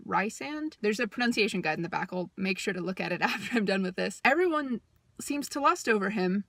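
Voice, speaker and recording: A woman speaks in a medium-pitched voice, in the loose style of a personal vlog. She talks as a book vlogger would to her viewers, informal and slangy. Her delivery is conversational and openly opinionated. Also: fairly bright tone, no room sound. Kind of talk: casual vlog chat to camera.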